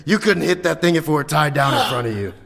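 An actor playing a cocky, mocking young criminal who hunts and bickers in a sci-fi audio drama. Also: male voice, on the high side, lively intonation, fast talker, vocal fry, fairly bright tone. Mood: irritated. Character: cocky, taunting young male criminal and hunter. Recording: reverberant hall-like sound.

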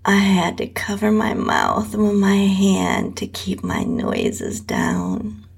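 Female narrator for adult audio fiction: very slow, drawn-out delivery, heavy vocal fry, breathy tone. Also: mid-range voice, fairly even intonation. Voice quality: husky, breathy. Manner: slow, drawn-out reading with whispery dips. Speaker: host and story narrator. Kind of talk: scripted first-person erotic story narration.

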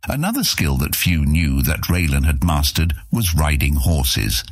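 A man reads a fantasy adventure audiobook in a very low voice with a husky grain. His delivery swings widely in pitch as he tells the story. The sound is dry and close, with no room around it. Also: no room sound. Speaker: audiobook narrator. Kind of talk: scripted narration of an epic fantasy adventure serial.

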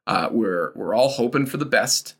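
A woman's voice: a bass voice, expressive, normal speed, slightly rough. A woman hosting a tabletop game podcast, casually introducing the players and the game. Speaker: host and game master of an actual-play podcast.

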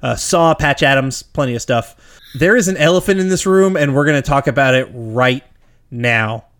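A man co-hosting a casual movie podcast, animated and laughing often, his voice jumping up when he gets worked up. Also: mid-range voice, normal speed. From Georgia, US. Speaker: podcast co-host. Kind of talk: casual movie review chat.

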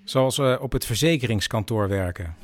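An actor playing a blunt, sceptical and sarcastic grown-up son in a radio drama. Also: male voice, medium pitch, lively intonation, moderate pace, lightly acted. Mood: sardonic. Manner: blunt, sardonic. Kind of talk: Dutch radio drama acting.

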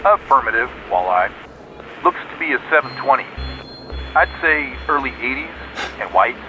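A man's voice: medium pitch, expressive, normal speed, music in the background, low-quality recording. A man acting in an audio drama as a laid-back, literal-minded lookout heard through a walkie-talkie filter. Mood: matter-of-fact. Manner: terse radio jargon with rambling asides. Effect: walkie-talkie radio filter. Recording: band-limited walkie-talkie sound.

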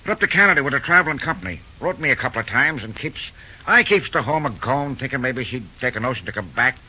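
A man acting in an old-time radio drama as a humble, wistful drifting railroad fireman, with an American accent, folksy rustic grammar and a halting delivery. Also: medium pitch, lively intonation, sharp tone. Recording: dull, noisy old radio recording.

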